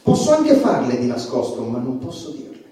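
Echoey, provocative stand-up satirist. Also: male voice, mid-range voice, wildly swooping pitch, moderate pace. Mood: indignant. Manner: emphatic, swinging from muttering to shouting. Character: provocative, agitated male stand-up satirist on trial. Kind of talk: theatrical stand-up monologue. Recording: echoey, distant stage recording with music.

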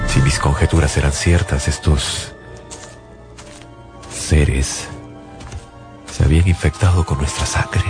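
An actor playing a haunted survivor, a husband and father, narrating a radio drama as grave first-person testimony over music. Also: rumbling low. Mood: weary, haunted. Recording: loud music bed behind the voice.

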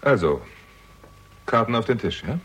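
A sharp-witted young sleuth in a radio thriller. His voice is low and husky, and he probes with dry irony and cool sarcasm. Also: very animated, moderate pace, exaggerated voice. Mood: ironic, probing. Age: young adult. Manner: dry, ironic, probing. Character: sardonic younger man, shrewd amateur investigator. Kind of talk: German radio crime drama acting.

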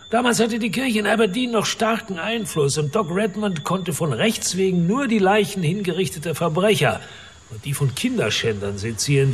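A man's voice: fairly high pitch, a little hiss or hum, close to an everyday voice. An actor playing a crude, boastful, middle-aged wealthy father in a radio drama, with a mocking, theatrical manner. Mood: grim recounting.

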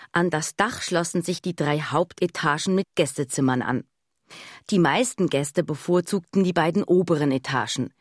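An actress playing a wry, observant woman who recounts childhood memories in a husky voice, as radio drama narration. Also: fairly low pitch, dry recording. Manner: measured, dry, wry storytelling. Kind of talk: scripted first-person radio drama narration.

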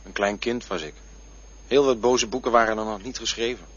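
An actor in a radio drama playing a fearful man who looks back on his poor childhood, talking in a poetic, musing and sometimes prickly way, with a husky voice. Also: lowish voice, fairly even intonation, a little fast, a bit bright. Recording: dull lo-fi radio play recording.

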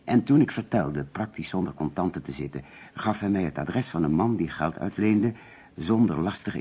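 A male insurance agent under suspicion in a radio drama. His voice is very deep and rough-edged, and he explains himself in a hesitant, defensive manner. The old recording sounds muffled. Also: full character voice. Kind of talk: radio play acting.

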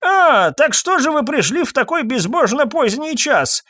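A man's voice: extremely high pitch, sing-song, unhurried, pushed and bright, dry recording, studio quality, pitched above the performer's own voice, full character voice. A man voicing a frail, fussy old pharmacist in an audiobook, with a trembling, querulous, muttering elderly voice.

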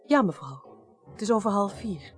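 A woman's voice: medium pitch, sing-song, normal speed, natural voice. An actress playing a timid, distressed female tour guide in a 1980s radio drama. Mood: shaken, timid. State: shaken, distressed.

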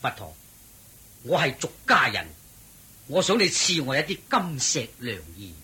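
A man's voice: medium pitch, sing-song, a little slow, bright, forceful tone, husky, full character voice. An actor playing a courteous nobleman who questions a holy teacher in a respectful, earnest way in a radio drama. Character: Prince Meda, courteous noble male lord. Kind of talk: Cantonese radio drama acting.

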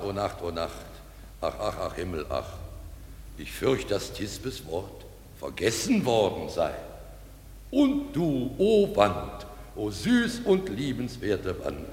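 In a reverberant, echoey hall, a bumbling amateur tragedian with a deep voice. He is earnest and bombastic, swooping dramatically through a lover's radio play verse. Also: slight background noise, exaggerated voice. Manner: bombastic, drawn-out, declamatory.

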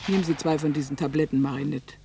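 An actor playing a controlling doctor in a radio drama, measured and authoritative with his patient. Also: on the high side, steady tone, creaky, distant mic, background noise. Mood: firm.

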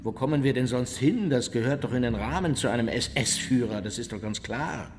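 An actor in a radio play voicing an unrepentant, boastful former SS officer who recounts events in a matter-of-fact, self-justifying way. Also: male voice, medium pitch, normal speed, over music, natural voice. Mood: callous, dismissive.

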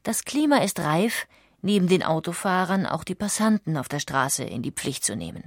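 A woman's voice: lowish voice, big pitch swings, moderate pace, dry recording, studio quality. A woman reading scripted narration in the manner of a documentary audiobook.

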